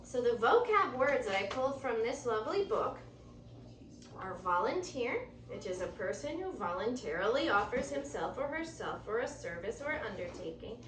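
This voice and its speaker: Animated woman reading aloud in a roomy space. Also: a little high, moderate pace. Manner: instructive, explains and points things out. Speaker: teacher reading a picture book aloud. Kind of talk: expressive children's read-aloud with word explanations. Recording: roomy echo, distant mic, faint noise.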